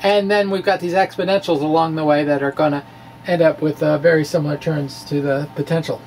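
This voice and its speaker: A man's voice: on the high side, steady tone, fairly quick, a little mellow. Quirky man over noticeable background noise. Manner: quirky, theatrical, jokey, folksy. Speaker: science video blogger. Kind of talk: dramatic reading of a physics blog with informal asides. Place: small indoor room with bookshelves. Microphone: microphone on a stand in front of him.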